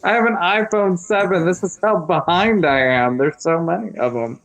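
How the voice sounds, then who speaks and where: A man's voice: high-pitched, moderate pace, a bit bright, no echo. A man in his thirties co-hosting a live movie commentary, talking in a friendly way and laughing often. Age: 30s. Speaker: co-host of a movie commentary channel. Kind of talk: casual live chat with viewers.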